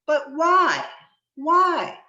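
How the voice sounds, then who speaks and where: A woman playing a cheerful, warm-hearted young nephew in a dramatic reading, speaking earnestly and pleadingly. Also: high-pitched, very animated, drawn-out words, exaggerated voice. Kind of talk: dramatic reading of a Victorian story. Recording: dull, echoey room sound.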